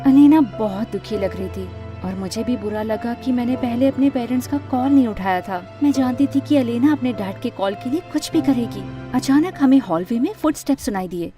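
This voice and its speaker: High-pitched woman telling a dramatic story. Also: lively intonation, moderate pace. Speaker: story narrator. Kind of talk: scripted first-person animated story narration. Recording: music under the voice.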